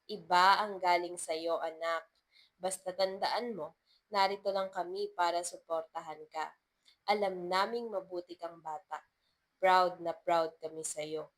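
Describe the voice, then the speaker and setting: A woman's voice: lowish voice, fairly even intonation, measured pace, fairly bright tone, voice pushed down, rough recording. A woman storyteller voicing a teasing, supportive older mother with a playful, exclaiming manner. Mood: warm, proud.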